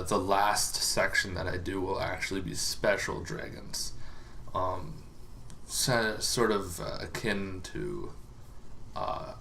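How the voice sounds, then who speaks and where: A man giving a casual, unscripted explainer as a host, halting and rambling with long pauses, over some background noise. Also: sits low, gravelly, pushed and bright. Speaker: host of a tabletop gaming lore series.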